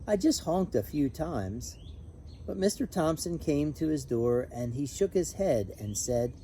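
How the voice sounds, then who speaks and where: A man reading a children's picture book aloud in an expressive, animated, emphatic way. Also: on the high side, unhurried, slight background noise. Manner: animated, emphatic on repeated refrain. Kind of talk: expressive storybook read-aloud with brief asides.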